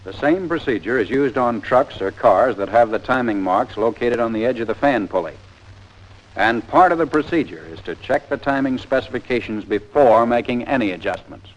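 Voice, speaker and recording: A man's voice: mid-range voice, lively intonation, moderate pace. An American man narrating a 1940s instructional training film in a plain-spoken, methodical, step-by-step way. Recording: dull, lo-fi 1940s film soundtrack.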